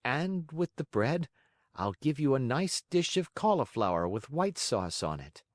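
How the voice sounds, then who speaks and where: Man playing a kindly motherly fairy, lively intonation. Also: medium pitch, measured pace, no room sound, clean studio sound, pitched above the performer's own voice. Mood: coaxing. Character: kindly, motherly grown woman fairy, gently teasing. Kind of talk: audiobook character acting.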